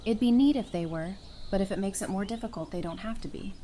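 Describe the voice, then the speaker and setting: A woman's voice: mid-range voice, fast, mellow, a little hiss or hum, close to an everyday voice. A woman playing an easygoing, friendly and sincere survivor in an audio drama. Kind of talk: fan audio drama acting.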